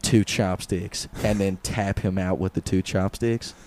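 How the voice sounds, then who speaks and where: A man's voice: sits low, steady tone, measured pace, darkish tone, slight background noise. A young man co-hosting a podcast, rambling through a silly made-up story, swearing and laughing often. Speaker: podcast co-host. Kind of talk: casual comedic chat.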